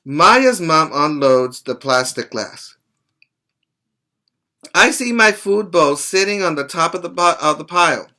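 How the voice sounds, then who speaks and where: Slow-talking, bright-voiced male preschool teacher. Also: a little high, lively intonation. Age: middle-aged. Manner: deliberate, didactic, stopping to explain and check understanding. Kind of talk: read-aloud to young children with plain explanations. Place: small indoor room at home.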